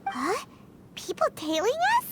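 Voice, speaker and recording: A woman voicing a tiny, childlike, plucky fairy companion in a video game, squeaky and excitable. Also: unhurried, heavy background noise, heavily acted. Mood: startled. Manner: excitable, indignant.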